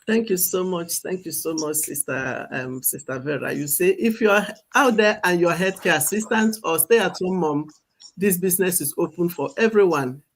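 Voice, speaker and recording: A woman's voice: fairly low pitch, normal speed. A woman hosting a live stream, handing over to each guest and repeating her thanks. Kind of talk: moderating introductions.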